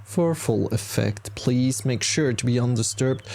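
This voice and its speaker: A man leading a guided meditation as a teacher, speaking calmly in short, unhurried instructions with pauses between them. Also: mid-range voice, gravelly. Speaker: yoga nidra meditation teacher. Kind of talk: guided meditation, body scan.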